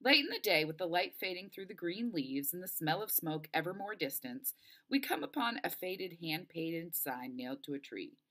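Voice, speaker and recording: A woman reading a first-person children's novel aloud in an expressive storytelling style. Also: lowish voice, moderate pace. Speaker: story reader.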